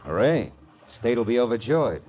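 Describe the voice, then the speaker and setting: An actor playing a wry, world-weary American private detective in a radio drama, sardonic and deadpan. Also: male voice, mid-range voice, normal speed, slight background noise, natural voice. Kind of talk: old-time radio detective acting and narration.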